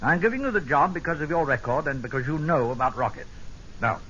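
A hearty British army general, middle-aged and clubbish, in a vintage radio play. His delivery swings up and down with a bright, forceful edge, in clipped, hemming phrases. The recording is dull with some noise. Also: a little high, lively intonation, fast. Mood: brisk, hearty. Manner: clipped, hemming, hearty upper-class officer talk. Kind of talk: old-time radio drama acting.

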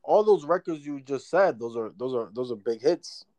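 Chill guy talking casually, cusses a lot, trails off mid sentence, regular mid pitch. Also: animated, moderate pace, darkish tone. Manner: laid-back and profane, trails off mid-thought. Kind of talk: casual chat.

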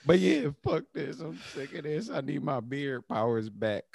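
A man co-hosting a podcast, joking loudly and crudely in casual banter. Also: a little high, expressive, moderate pace, vocal fry, breathy edge, dry recording, studio quality. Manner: loud, laughing, crude joking. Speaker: podcast co-host.

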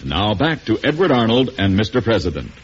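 Man, 1940s radio announcer, formal, dramatic, animated intonation, dull vintage sound. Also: fairly low pitch, fairly quick, slight background noise. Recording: muffled vintage radio recording.

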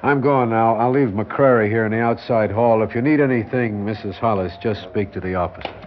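Actor as low-voiced sardonic police lieutenant. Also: male voice, brisk, slight background noise, close to an everyday voice. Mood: dryly scolding. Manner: dry, clipped, authoritative. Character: gruff, dryly sardonic male police lieutenant. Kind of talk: classic radio drama acting. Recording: dull vintage radio recording with music.

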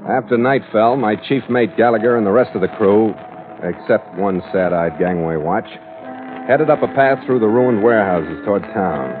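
A man's voice: lowish voice, normal speed, lightly acted. A man playing a world-weary, hard-boiled sea captain who narrates his log tersely in a 1940s radio drama style. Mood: brooding. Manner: terse, clipped, dramatic delivery. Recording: tinny old radio recording with music.

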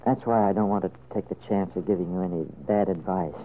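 A thin vintage radio recording with faint noise carries an actor as a devoted, gentle confidant. His voice is very deep, and he speaks earnestly in soap opera drama style. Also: steady tone, fast, narrow, tinny audio, lightly acted. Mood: devoted, caring. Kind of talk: old-time radio soap opera acting.

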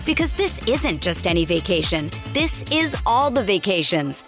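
A woman announcer giving an energetic, enthusiastic travel commercial read. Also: mid-range voice, normal speed, over music.

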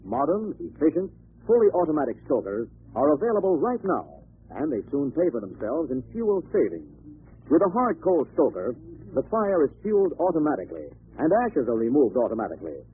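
A man reading a scripted 1940s radio commercial in a formal, measured announcer style. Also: a little high, slightly rough. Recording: tinny, scratchy old radio recording.

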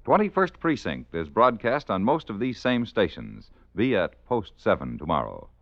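A low-voiced American man works as a vintage radio announcer. He reads with polished drama and wide pitch movement. The old recording sounds muffled. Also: wildly swooping pitch, moderate pace, no echo. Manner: polished, dramatic promotional reading.

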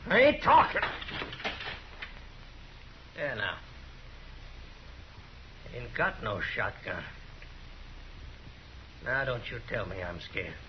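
A menacing American outlaw gunslinger, vain and defiant. His surly drawl swings widely in pitch, in old radio-western style. The sound is dull, with faint noise. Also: wildly swooping pitch, slow pace, pauses often. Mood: defiant anger. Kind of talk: 1950s radio western acting.

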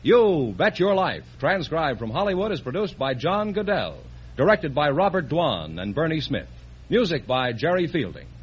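A man announcing on a 1950s radio quiz show in a crisp, formal manner. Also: on the high side, sing-song, normal speed. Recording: dull old radio broadcast.

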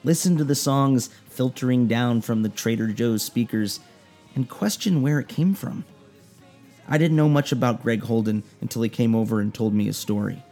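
A man's voice: medium pitch, normal speed, warm tone, faint music behind. A man hosting a radio show, talking in an enthusiastic, conversational way with playful asides. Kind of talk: scripted intro and interview.

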